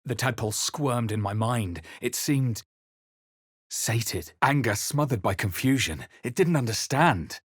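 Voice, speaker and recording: A man playing a brooding fantasy hero who narrates his own adventure for a video game, solemn and deliberate, with a husky voice. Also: medium pitch, expressive, dry recording, studio quality. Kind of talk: scripted first-person game narration.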